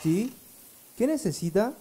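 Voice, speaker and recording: A woman's voice: medium pitch, very animated, measured pace, warm tone, slightly rough. A woman playing a hospitable, worried householder in a radio drama. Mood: worried, welcoming.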